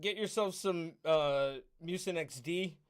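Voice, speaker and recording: A man's voice: fairly high pitch, a little slow, clear, resonant tone. A slightly drunk man hosting a podcast, crude and boisterous, introducing his co-host like a showman. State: a bit drunk. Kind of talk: casual chat.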